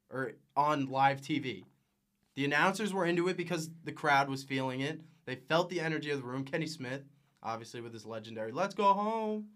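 A man's voice: on the high side, a bit bright. A young man giving emphatic, opinionated takes as a guest on a casual sports podcast.

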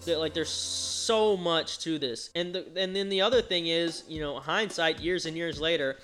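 A man's voice: a little high, pushed and bright. A man hosting a podcast, chatting casually and jokily about football picks, often restarting his sentences. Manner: rambling, jokey, self-correcting. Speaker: podcast host. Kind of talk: casual sports chat.

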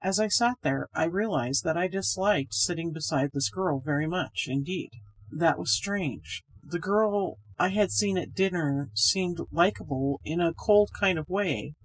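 A woman's voice: fairly low pitch, unhurried, husky, rough recording. A woman reading a scripted ghost story aloud as the narrator. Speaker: story reader, podcast host.

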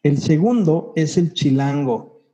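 Dark-voiced Mexico City man. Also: fairly high pitch, slow. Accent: Mexico City Spanish. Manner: explaining, relaxed, asks questions. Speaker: podcast co-host. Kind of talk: casual chat.

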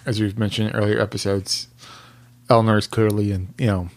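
A man's voice: on the low side, level tone, brisk, darkish tone. A man co-hosting a podcast in casual chat, hesitant and full of fillers. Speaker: podcast co-host.

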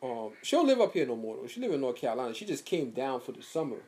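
Laid-back man in his thirties, lively. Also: quick pace. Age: 30s. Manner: laid-back slangy talk, lively pitch swings. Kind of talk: casual chat.

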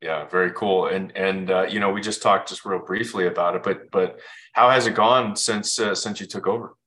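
Male podcast host, casual interviewer, lowish pitch with a bright, punchy edge. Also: fairly even intonation, moderate pace.

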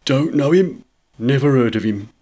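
A man voicing a surly, gruff shopkeeper with a thick, husky voice, firing off indignant questions in a story reading. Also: medium pitch, lively intonation, warm tone, pitched above the performer's own voice, lightly acted. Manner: starts slow, grows into indignant ranting questions.

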